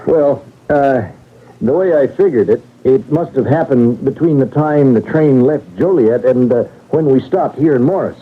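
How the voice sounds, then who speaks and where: An actor playing a frightened baggage master with a husky voice, stammering and agitated, in old-time radio drama style. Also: male voice, medium pitch, moderate pace, natural voice. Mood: nervous, flustered. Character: frightened, flustered male baggage master on a train. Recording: tinny old radio recording.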